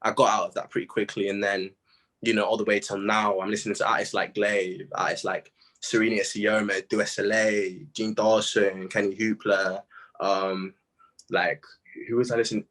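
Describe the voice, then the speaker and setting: A young man chatting casually in an interview, telling stories in an animated, slangy way with plenty of "bro" and "man". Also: on the low side, normal speed. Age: young adult. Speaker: music artist being interviewed. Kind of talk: casual chat.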